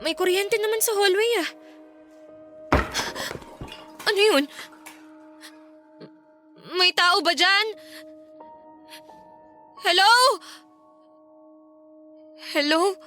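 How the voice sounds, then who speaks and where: A woman's voice: very high voice, takes it slowly, long pauses, bright, forceful tone, soft background music, full character voice. A Filipino woman acting in a radio drama as an anxious, tearful and insistent young woman who lives alone. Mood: uneasy, puzzled.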